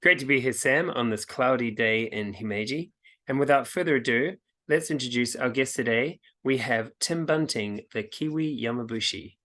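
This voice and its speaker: A man's voice: medium pitch, moderate pace, bright, forceful tone. A man co-hosting a travel podcast, curious, breaking in with questions for the guest. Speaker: podcast co-host. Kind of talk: podcast interview.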